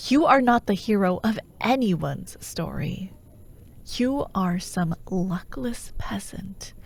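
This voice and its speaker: A woman playing a menacing, persuasive fantasy warlord who haunts dreams, grandiose and coaxing, in a breathy ASMR roleplay voice that often drops toward a whisper. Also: mid-range voice, a little mellow, heavily acted. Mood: softly menacing. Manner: slow, grandiose, coaxing, mock-reasonable.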